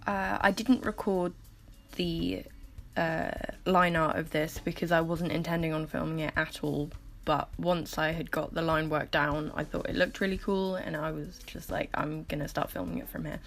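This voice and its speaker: Croaky, low-voiced woman doing casual voiceover. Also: fairly even intonation, moderate pace. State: croaky morning voice, just woke up. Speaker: artist making painting process videos. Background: music.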